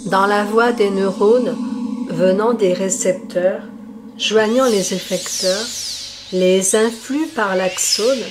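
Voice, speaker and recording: A woman reciting an epic poem in a solemn, measured declamation, phrase by phrase. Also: medium pitch, noisy background. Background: loud music.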